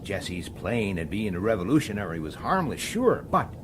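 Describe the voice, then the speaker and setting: An actor playing a hot-tempered, impatient police detective in a radio drama, arguing emphatically and with exasperation. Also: male voice, lowish voice, sing-song, normal speed, noisy background. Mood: tense, grim. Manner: emphatic, exasperated delivery with rhetorical questions.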